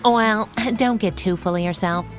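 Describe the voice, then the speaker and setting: An actress playing a sardonic, playful crime-fighting partner in a pulp radio drama, with dry teasing delivery. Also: female voice, mid-range voice, normal speed, soft background music, lightly acted. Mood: teasing, wry.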